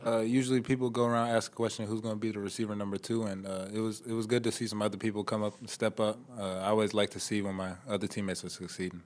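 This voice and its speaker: A man's voice: on the low side, level tone, fast talker, few pauses. A young man, a college football player, giving brief, understated answers at a postgame press conference. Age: young adult. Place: press conference table indoors.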